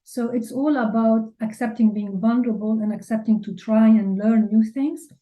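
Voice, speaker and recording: A woman's voice: fairly high pitch, moderate pace, narrow, tinny audio. A woman from Lebanon with a Lebanese accent, answering interview questions candidly and informally, often saying "like". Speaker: interview guest, business leader and mentor.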